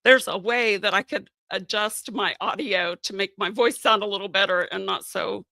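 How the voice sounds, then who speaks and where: A woman's voice: medium pitch, normal speed, vocal fry, bright, forceful tone, no echo. A woman hosting a casual live-stream chat on her channel, losing her voice and sounding scratchy, rambling along with plenty of fillers. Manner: chatty, rambling with many fillers. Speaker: channel host. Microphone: podcast microphone.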